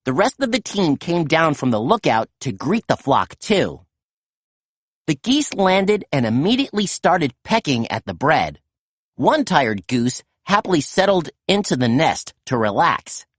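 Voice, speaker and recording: Woman reading a kids book aloud, super expressive, slightly low voice, close dry sound. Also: unhurried, husky, no room sound. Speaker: storyteller. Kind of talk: animated children's read-aloud narration.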